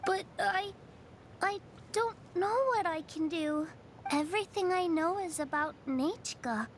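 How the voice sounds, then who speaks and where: A woman voicing a timid young girl who has lost her memory, speaking in a halting, hesitant way. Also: very high-pitched, slight background noise. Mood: unsure.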